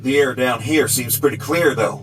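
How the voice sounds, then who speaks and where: Mid-pitched actor as a cautious tunnel explorer. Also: male voice, moderate pace, over music, close to an everyday voice. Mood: cautious, musing. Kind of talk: audio drama dialogue.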